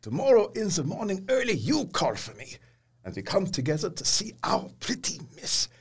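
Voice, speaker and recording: An elderly professor in a radio play. His husky, higher-set voice swings theatrically as he speaks in stilted, emphatic phrases, fierce yet kindly underneath. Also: wildly swooping pitch, normal speed, heavily acted. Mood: firm, confident. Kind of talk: dramatic audio drama acting.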